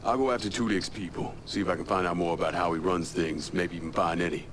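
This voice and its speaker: A terse, hard-edged hero speaking in short lines , voiced by a man. His voice is low and steady. He never says more than he needs to. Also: fast talker, noisy background, lightly acted. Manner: curt, clipped, tough. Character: terse tough male protagonist, a war veteran.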